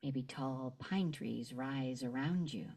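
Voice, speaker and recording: A woman guides a meditation in a low, mellow voice. She speaks gently and very slowly, leaving long pauses between short phrases as she leads the listener through a visualization.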